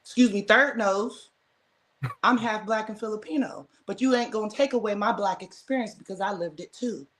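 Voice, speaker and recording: A woman's voice: medium pitch, normal speed, a bit bright, a little hiss or hum. An American woman speaking as a guest in a casual debate, firm and emphatic, driving her points home by repeating them. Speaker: guest caller. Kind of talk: casual heated discussion.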